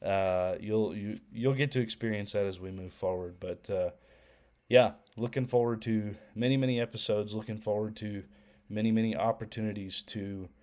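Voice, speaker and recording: Man, young adult, low voice, stuffy nose from a cold, hesitant casual podcast talk. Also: fairly even intonation, moderate pace, darkish tone. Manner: hesitant, many ums and restarts. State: head cold, a little nasal. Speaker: podcast co-host.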